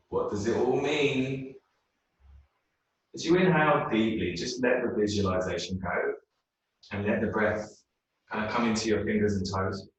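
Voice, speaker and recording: A man leading a guided meditation in a yoga class, speaking slowly and soothingly. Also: fairly low pitch. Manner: slow, soothing, unhurried. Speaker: yoga teacher. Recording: very echoey room, mic far off.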